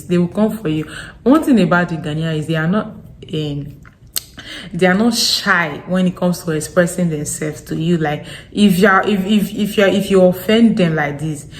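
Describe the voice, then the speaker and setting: Young nigerian woman w/ a thick delta accent, lowish voice, blunt and lively, bit of room hum. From Nigeria, Delta State. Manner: frank, animated, laughing asides. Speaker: vlogger. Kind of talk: casual talk to camera. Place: home in Accra.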